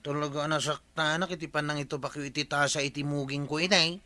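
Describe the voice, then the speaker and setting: A man's voice: a little high, fairly even intonation, a little fast, pushed and bright. A man acting in a Filipino radio comedy as a chummy, teasing, meddling village friend with an Ilocano accent. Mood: concerned, gossipy.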